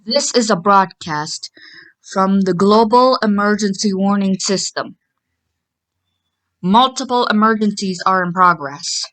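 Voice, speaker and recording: Female emergency alert announcer, slow and measured, formal, medium pitch, no emotion of her own. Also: fairly bright tone, poor audio.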